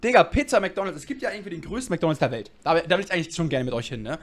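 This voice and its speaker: A young man streaming a reaction video in casual German, rambling in slang and swinging between loud outbursts and mumbles. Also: on the high side, lively intonation, very fast.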